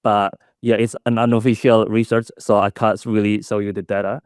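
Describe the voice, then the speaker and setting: A young man from Indonesia gives a conference talk in a fairly low voice. He speaks in a halting way, with restarts and fillers, and the sound is dry and close with no room echo. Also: little pitch movement, darkish tone, smooth. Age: young adult. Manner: halting, with self-corrections and fillers. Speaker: student presenter. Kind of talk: conference presentation.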